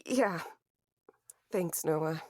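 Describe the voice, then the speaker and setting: A traumatized young woman in a naturalistic horror audio drama. Her voice is slightly low and very slow, weary with a wry edge. The sound is dry and close. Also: no echo, exaggerated voice. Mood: weary, subdued.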